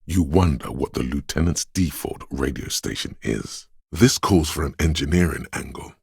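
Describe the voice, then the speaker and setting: An actor voicing a man's brooding, tactile inner voice in a game, narrating with husky gravel in a deliberate, dramatic, literary manner. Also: very deep voice, lively intonation, darkish tone, no room sound, studio quality, heavily acted. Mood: hushed, wondering.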